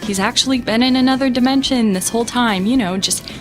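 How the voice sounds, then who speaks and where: A woman's voice: a little high, quick pace, faint music behind, natural voice. A woman playing an exasperated young space captain who narrates her story in a sarcastic, conversational way for an audio drama. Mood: irritated. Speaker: narrator and lead character.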